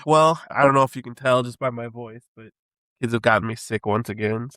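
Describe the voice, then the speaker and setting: A man co-hosting a podcast in casual chat, husky from a head cold, laid-back and rambling. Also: mid-range voice, a little fast, fairly bright tone. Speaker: podcast co-host.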